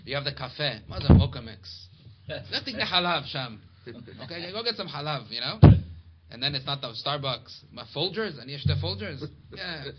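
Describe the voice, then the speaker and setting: A man teaching a casual Torah class to a small study group, chatty and quick, reading Hebrew and Aramaic passages aloud. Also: on the high side. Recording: dull lo-fi recording with steady background noise.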